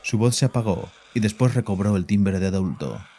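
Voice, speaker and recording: A man's voice: on the low side, animated, brisk, mellow. A man narrating a scripted horror story as the narrator of an audio drama. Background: faint music.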